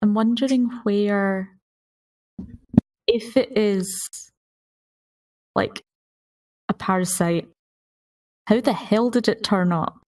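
A woman's voice: mid-range voice, takes it slowly, pauses often, darkish tone, distant mic, low-quality recording. A woman co-hosting a podcast in casual conversation. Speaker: podcast co-host and player. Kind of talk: casual chat.

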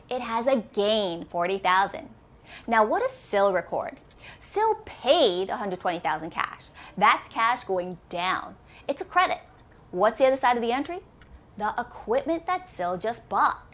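A woman in her thirties teaching accounting, walking through each step and asking quick questions as she goes. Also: fairly high pitch, fairly bright tone. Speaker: accounting instructor. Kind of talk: recorded lesson walkthrough. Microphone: camera framed head and shoulders.

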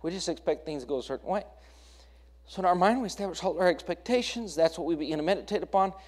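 An American man delivers a sermon in a fairly high-pitched voice. He exhorts his congregation as their pastor, putting questions to them and driving points home with repeated phrases and lists. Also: animated, fast talker, darkish tone.

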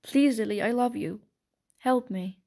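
A woman narrator voicing a remorseful young man who pleads quietly. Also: on the high side, unhurried, vocal fry. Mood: pleading.

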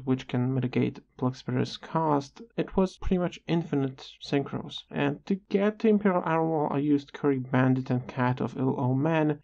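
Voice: medium pitch, vocal fry, warm tone. Dull-sounding storyteller with a mid-range voice. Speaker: video creator narrating. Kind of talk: scripted storytime narration.

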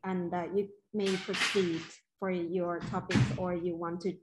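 A woman's voice: fairly low pitch, flat delivery, a little slow, heavy background noise. A young woman moderating a webinar on a video call, speaking informally with loose phrasing. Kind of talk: casual moderation.

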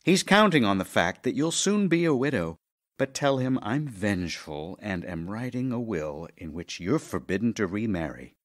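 A man's voice: fairly low pitch, big pitch swings, normal speed. A man playing a middle-aged writer, wry, teasing and affectionate, in a theatrical audio drama. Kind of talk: audio drama acting, letters read as speech.

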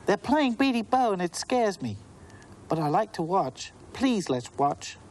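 A man voicing a timid four-year-old girl in a high, pleading voice. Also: sing-song, a little slow, a little mellow.

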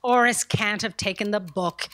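A woman's voice: medium pitch, normal speed, fairly bright tone, lightly acted. A woman playing an impatient, imperious editor boss in a staged comedy audio drama, with a dry, commanding delivery. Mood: dry, stern. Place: theatre stage. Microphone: stand microphone.

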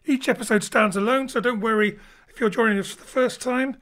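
A man's voice: very high-pitched, fairly even intonation, a little fast, fairly bright tone. Guy, director doing an informal host intro for an audio show, home studio mic. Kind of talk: informal spoken introduction to a live-style show. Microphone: home studio microphone over internet link.

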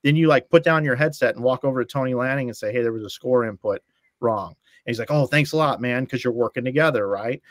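A middle-aged man talking over a video call from a room at home, with a dry, close sound. He builds long stories and slips into acted-out lines of dialogue, often restarting his phrases. His voice sits at an ordinary pitch for a man. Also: quick pace, dry recording. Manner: storytelling, acting out quoted dialogue, restarts phrases. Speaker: guest, bowling stream commentator. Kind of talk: casual podcast chat.

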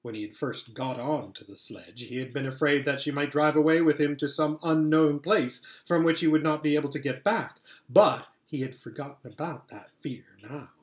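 A man's voice: fairly high pitch, normal speed, few pauses, roomy sound. A middle-aged man reading a children's novel aloud expressively, at home. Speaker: storybook reader. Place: living or dining room at home, indoors.

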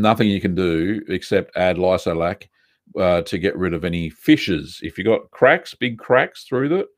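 Chatty Australian man hosting a live stream. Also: on the low side. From South Australia. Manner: relaxed, chatty, many ums and uhs, reads chat aloud. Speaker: livestream host answering viewer questions. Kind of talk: casual live Q&A chat. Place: home studio room with bookshelves, indoors.

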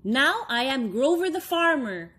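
A woman's voice: high-pitched, big pitch swings, measured pace, fairly bright tone, full character voice. A woman voicing a lovable, overconfident male furry monster from a storybook, with cheerful, emphatic exclamations.

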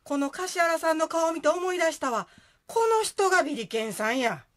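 A woman plays a mother's ghost in a radio drama. She is doting yet fierce, with a high, very lively voice and a Kansai accent. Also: brisk. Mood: exclaiming.

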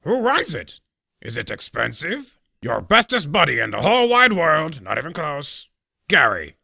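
A cocky cartoon wrestler with a short temper. The voice is very gravelly and hard-edged, blustering and sarcastic, with pitch that swings widely. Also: male voice, wildly swooping pitch, normal speed, pushed and bright, no room sound, clean studio sound, heavily acted. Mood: mocking. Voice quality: gravelly, raspy. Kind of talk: cartoon fandub acting.

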